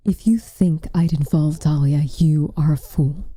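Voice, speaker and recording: A woman playing a proud, protective witch in an ASMR roleplay, speaking softly and formally, often dropping into a breathy whisper. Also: sits low, no echo, studio quality. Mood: worried, frustrated. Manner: formal, measured, dramatic delivery. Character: protective, proud, frustrated witch, tender toward her lover.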